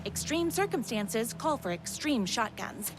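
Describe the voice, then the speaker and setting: An actress playing a perky, obsessive young waitress and superfan in a video game, gushing and melodramatic. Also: female voice, a little high, big pitch swings, a little slow, background noise. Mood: melodramatic, determined. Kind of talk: theatrical game dialogue and self-narration. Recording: music under the voice.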